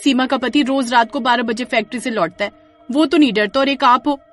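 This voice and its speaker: A woman's voice: a little high, fairly quick, soft background music, pitched above the performer's own voice, natural voice. A woman voicing a plucky, sensible village wife in a horror story, with a pleading, chiding manner. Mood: nagging.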